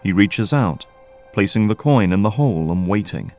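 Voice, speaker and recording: Male narrator for scripted audio drama, slightly deep register, faint music underneath. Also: lively intonation, normal speed.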